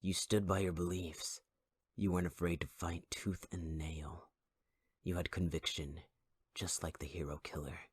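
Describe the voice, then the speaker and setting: A man voicing a scarred, possessive fire-wielding villain in an ASMR roleplay, taunting in a low half-whisper. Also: flat delivery, moderate pace, breathy edge.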